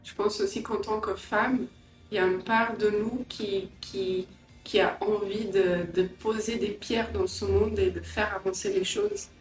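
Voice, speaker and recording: A middle-aged woman from Angola and England giving a personal interview, reflective and hesitant, often pausing mid-sentence. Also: medium pitch, steady tone. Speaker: interviewee, entrepreneur and language teacher. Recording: roomy echo, distant mic, faint music.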